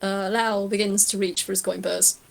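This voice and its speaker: A woman's voice: medium pitch, little pitch movement, moderate pace, gravelly. A woman narrating a tabletop roleplay scene aloud, slightly hesitant and correcting herself as she goes. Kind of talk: story narration.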